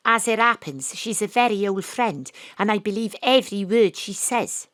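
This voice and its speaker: A woman narrating and playing a bold private investigator, often hushed and conspiratorial. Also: medium pitch, lively intonation, moderate pace, no room sound. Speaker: narrator and lead. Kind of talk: first-person drama narration. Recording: dry home studio.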